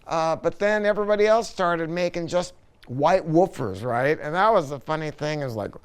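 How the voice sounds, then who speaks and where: A man's voice: on the high side, animated, normal speed, smooth. A man talking casually and enthusiastically as he presents a product review, informal and full of opinions.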